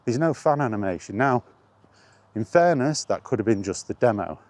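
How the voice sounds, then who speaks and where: Dark-voiced man chatting casually. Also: medium pitch, lively intonation, moderate pace, no echo. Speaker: host of a gaming chat vlog. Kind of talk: casual rambling monologue, reminiscing.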